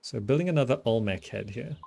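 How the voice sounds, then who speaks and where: A man's voice: mid-range voice, animated, brisk, gravelly, darkish tone, slight background noise. A man giving casual gameplay commentary, thinking aloud and often trailing off as he muses to himself. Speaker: gaming video host. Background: background game music.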